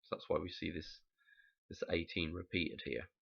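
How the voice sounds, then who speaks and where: A man, croaky from a cold, rambling through a casual explanation and often checking in with "okay?". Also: sits low, fairly even intonation, normal speed, fairly bright tone, muffled recording. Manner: rambling, musing, frequent "okay?" check-ins. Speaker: channel host explaining number codes.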